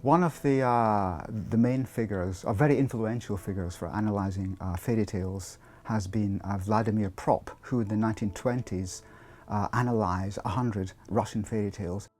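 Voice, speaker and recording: Low mellow guy, scholarly, explaining stuff kinda carefully, bit of music behind. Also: normal speed. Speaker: academic expert interviewee. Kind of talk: explanatory interview talk.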